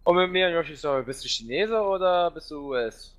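Bright, lively young man gaming. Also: fairly high pitch, a little fast. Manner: excitable, hums and makes gun noises. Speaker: co-player. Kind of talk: casual gaming chat.

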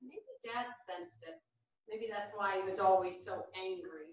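A woman playing a devout, caring elderly grandmother in a staged play reading, deliberate and expressive. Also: mid-range voice, close to an everyday voice. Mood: reminiscing. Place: small theatre stage, seated at music stand. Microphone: far camera. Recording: very echoey theatre stage from far off.

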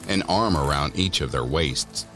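A man's voice: very deep voice, a little slow. A man narrating a scripted spooky story about teens, as a storyteller in an audio drama. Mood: measured, eerie. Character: male storyteller of a spooky teen tale. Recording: music under the voice.

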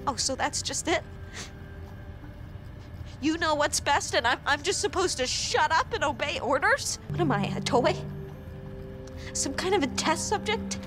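High-pitched, emotional teenage girl. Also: expressive. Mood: angry defiance. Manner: emotional, halting, pleading and protesting. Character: upset, defiant teenage girl test subject. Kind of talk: dramatic video game acting. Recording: music under the voice.

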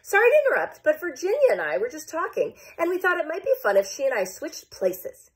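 A woman's voice: sits high, huge pitch swings, brisk, pitched above the performer's own voice. A woman voicing Idaho, a polite storybook state, in a light, sing-song manner.

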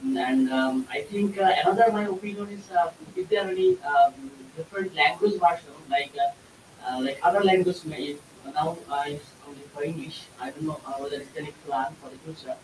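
Halting Bangladeshi man in an echoey room. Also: fairly high pitch, big pitch swings. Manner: halting, repeats and rephrases. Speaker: course participant. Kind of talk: impromptu spoken feedback. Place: room with other participants. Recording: echoey room, far mic, faint hiss.

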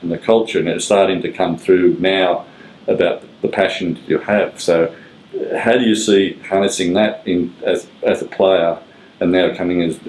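A man's voice: low voice, a little mellow. An older man, interviewing in rambling, hesitant questions. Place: small room with gray wall, seated at table. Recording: roomy echo.

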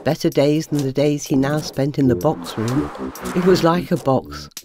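Low, lively woman storyteller. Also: normal speed. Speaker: children's author and narrator. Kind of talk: bedtime story narration. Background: music.

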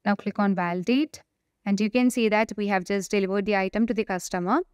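Clear-voiced woman giving a software walkthrough. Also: mid-range voice, steady tone, a little fast, no echo, clean studio sound. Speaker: software tutorial presenter. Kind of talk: spoken walkthrough of a software demo.

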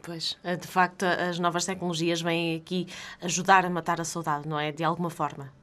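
A woman's voice: lowish voice, level tone, fairly quick, a bit bright. A woman, interviewing a guest with friendly, conversational questions.

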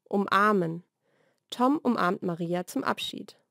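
A woman reads short scripted vocabulary lesson sentences in a clearly enunciated, measured way. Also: mid-range voice, fairly bright tone, no echo, clean studio sound. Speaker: language lesson narrator. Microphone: studio voice-over recording.

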